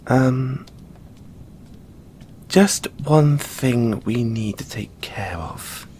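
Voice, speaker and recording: Man playing a slow-spoken, shy owl boy librarian. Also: medium pitch, a little hiss or hum. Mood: hesitant, coy. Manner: soft-spoken, hesitant, gently bashful. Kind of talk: audio roleplay addressed to the listener.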